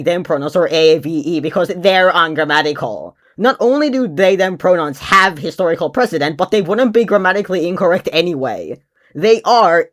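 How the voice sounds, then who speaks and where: Lively, sarcastic young vlogger, native Finnish speaker. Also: mid-range voice. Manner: sarcastic, blunt, swears when ranting. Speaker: video creator. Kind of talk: casual vlog rant.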